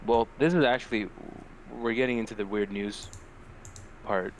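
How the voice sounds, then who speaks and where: A man's voice: lowish voice, moderate pace, slight background noise. A man co-hosting a podcast in casual, slangy, joking banter with plenty of swearing. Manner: profane, slangy, jokey. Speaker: podcast co-host. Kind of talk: casual podcast chat, weird news banter.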